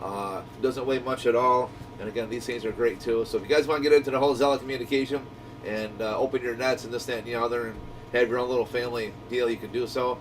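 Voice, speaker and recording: A man's voice: fairly even intonation, fast talker. A man giving a casual, rambling product review in a conversational, enthusiastic way, like a shop owner talking to viewers. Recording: distant mic, noisy low rumbling hum.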